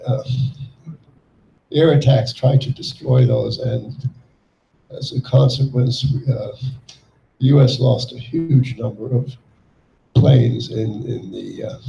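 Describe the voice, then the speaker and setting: An older man giving a talk to an audience, speaking haltingly with many fillers. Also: mid-range voice, gravelly, dark tone, lo-fi sound, a little hiss or hum. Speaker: guest speaker, child refugee. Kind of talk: public talk with slides. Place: lecture hall before an audience. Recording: echoey hall.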